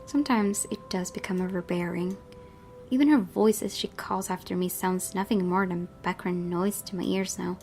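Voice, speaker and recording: A woman's voice: fairly low pitch, fairly even intonation, moderate pace, a little mellow, soft background music. A woman hosting a gaming video, reading visual novel narration expressively and adding casual asides.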